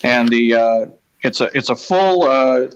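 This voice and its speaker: A man hosting a casual live show, reading things out in short, halting phrases with pauses between them. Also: mid-range voice, expressive, smooth, muffled recording. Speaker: host of a live newspaper read-along.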